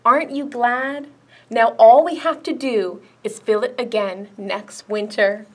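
A woman voicing a cheeky little boy snowflake in a read-aloud storybook, with slow, playful delivery. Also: fairly high pitch, darkish tone.

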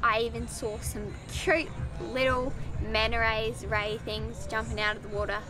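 A teenage young woman with a high voice talks casually to camera as a vlogger. Her phrasing is informal and wanders a little. She is outdoors on a boat, with music behind her. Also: flat delivery, normal speed, poor audio. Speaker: sailing vlogger. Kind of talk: casual vlog chat to camera. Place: outdoors on a sailboat deck at sea.

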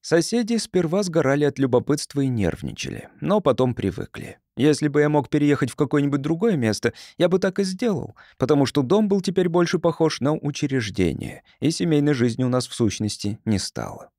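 A man narrating fiction as an audiobook reader, in an expressive storytelling style. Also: fairly high pitch, brisk, few pauses, no echo, studio quality.

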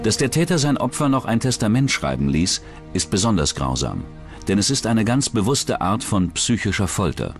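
A man's voice: on the low side, sing-song, normal speed. A man reading scripted narration for a true-crime documentary as its narrator. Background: background music.

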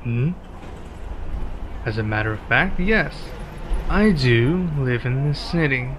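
A man acting a one-sided ASMR roleplay as a laid-back, friendly werewolf local, speaking casually and in a measured way with pauses. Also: mid-range voice, expressive, a bit bright, slight background noise.

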